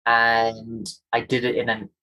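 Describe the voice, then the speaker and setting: A man from Kenya whose Kenyan accent has been polished down over the years. He speaks as an interview guest, reflective and earnest, repeating key words for emphasis. His voice sits at a medium pitch. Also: monotone, a little slow, sharp tone, rough recording. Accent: softened Kenyan.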